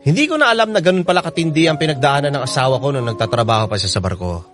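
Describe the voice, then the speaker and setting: A man's voice: a little high, lively intonation, rapid-fire, natural voice. A man voicing a devoted spouse's heartfelt letter as scripted radio drama narration, earnest and sentimental. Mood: earnest, reflective. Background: background music.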